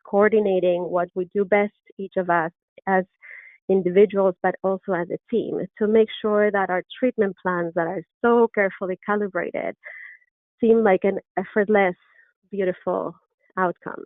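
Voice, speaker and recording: A woman's voice: mid-range voice, steady tone, normal speed, no echo. Argentine woman lecturing over tinny audio. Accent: Argentine Spanish. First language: Spanish. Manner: earnest, enthusiastic, with frequent ums and restarts. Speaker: orthodontist lecturer. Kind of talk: webinar presentation. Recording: dry, tinny phone-like webinar audio.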